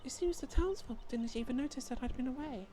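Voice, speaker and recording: A woman's voice: high voice, quick pace, warm tone, a little hiss or hum, natural voice. A woman voicing an anxious, confused young woman in a game fan dub, speaking hesitantly and earnestly. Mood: puzzled. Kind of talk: fan-dubbed game dialogue.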